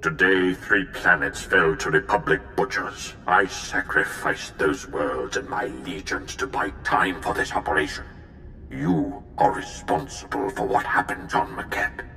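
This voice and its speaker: A stern imperial Sith overlord in a video game. The voice is very low and forceful, with a gravelly edge. Grave, accusing delivery rings with echo. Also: male voice, expressive, a little slow, soft background music, exaggerated voice. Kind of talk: scripted video game acting.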